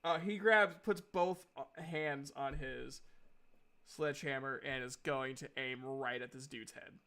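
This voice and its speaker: Clear-voiced man running a tabletop game. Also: medium pitch, a little slow, bright, forceful tone. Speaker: game master. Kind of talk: casual tabletop game narration.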